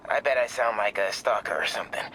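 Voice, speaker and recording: A man's voice: fairly low pitch, quick pace, pushed and bright, slight background noise. An actor playing a nervous, eager teenage boy in a video game, hesitant and rambling, on a phone call. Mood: embarrassed. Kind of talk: video game cutscene acting.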